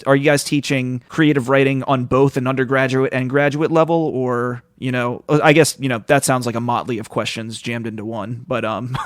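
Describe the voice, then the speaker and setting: A man's voice: medium pitch, dry recording, studio quality. A man hosting a podcast in casual interview chat, talking in a rambling, informal, slangy way. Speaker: podcast host.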